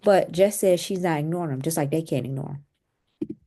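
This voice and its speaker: A woman's voice: sits low, fairly quick, vocal fry, mellow, husky. A woman hosting a commentary show, explaining celebrity drama in a conversational way with asides. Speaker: host.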